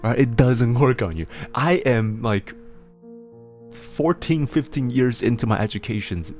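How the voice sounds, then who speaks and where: A man's voice: mid-range voice, lively intonation, unhurried, darkish tone, soft background music. A young adult man hosting a casual stream where he gives advice, rambling and giggly, with frequent laughs and restarts. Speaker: host giving advice on submitted struggles. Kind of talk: casual livestream advice chat.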